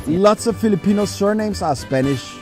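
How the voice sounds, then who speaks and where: A man doing a scripted voice-over narration in a measured, list-like way, stretching out key words. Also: sits high, animated, over music. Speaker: video narrator.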